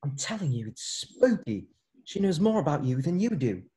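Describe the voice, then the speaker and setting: Animated, mellow-voiced schoolboy wizard played by a man. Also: fairly high pitch, moderate pace, slight background noise. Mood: uneasy. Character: eager, easily alarmed eleven-year-old schoolboy wizard. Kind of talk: amateur online script table read.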